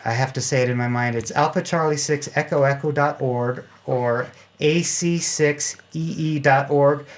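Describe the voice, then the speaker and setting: Man in a halting, casual interview. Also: on the high side, steady tone. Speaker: radio club member giving an interview.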